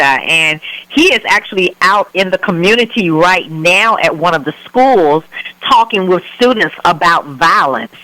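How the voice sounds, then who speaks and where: Radio host lady, super chatty and excited, bright voice, dry close sound. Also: lowish voice, dry recording, low-quality recording. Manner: warm, enthusiastic, chatty. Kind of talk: radio talk show interview.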